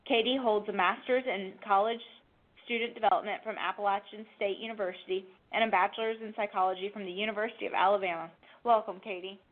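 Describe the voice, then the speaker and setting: A woman's voice: medium pitch, steady tone, fast talker. A woman hosting a webinar, formally reading out a scripted introduction of a presenter. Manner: formal, reading from prepared text. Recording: phone-like narrow band.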